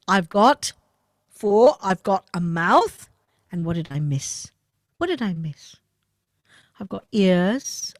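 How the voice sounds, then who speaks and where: A woman telling a children's story, animated and talking directly to the children. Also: mid-range voice, dry recording, studio quality.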